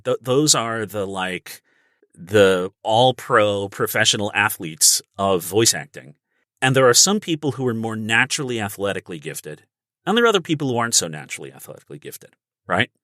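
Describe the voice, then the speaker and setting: Animated man with a bright, low voice. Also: no room sound, clean studio sound. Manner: emphatic, explains through everyday analogies. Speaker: guest voice actor and audiobook narrator. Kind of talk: casual instructional podcast conversation.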